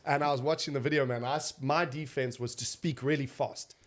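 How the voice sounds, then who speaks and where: A man's voice: mid-range voice, steady tone, a little fast. A man from South Africa with a South African accent, a comedian chatting casually on a podcast, laughing often and swearing freely. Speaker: comedian guest.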